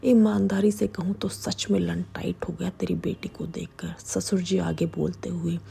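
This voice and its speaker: A woman voicing a crude, boastful older father-in-law in her own hushed whisper. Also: on the low side, level tone, normal speed, vocal fry, a little hiss or hum. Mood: sly.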